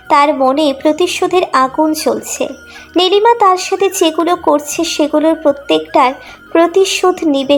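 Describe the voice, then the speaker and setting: High voiced lady narrating an emotional love story, reading from script, soft music behind.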